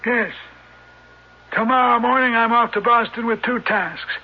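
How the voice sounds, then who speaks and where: High-voiced, husky, stern old uncle. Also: male voice, fairly even intonation, unhurried, heavily acted. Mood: stern, resolute. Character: crusty wealthy old uncle, stern and resolute. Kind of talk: 1970s radio drama acting. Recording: muffled old radio broadcast.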